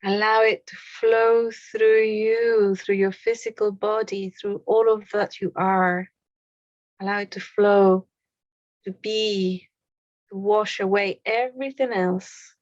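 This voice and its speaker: A woman leading a guided meditation in a soothing, unhurried way, with pauses between short phrases. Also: medium pitch, fairly even intonation, dry recording, muffled recording.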